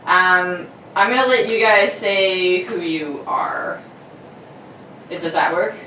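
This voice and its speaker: A woman's voice: fairly low pitch, slow, sharp tone. A woman host giving a casual, bantering introduction in a jokey, giggly, informal manner. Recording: distant roomy mic with faint noise.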